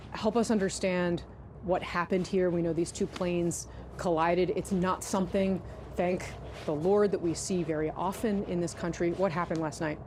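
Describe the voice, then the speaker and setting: A woman news anchor giving live breaking coverage from an outdoor location in a conversational reporting style. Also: a little mellow. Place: outdoors by a river in the city, helicopters overhead. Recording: outdoor background noise, helicopter and vehicle rumble.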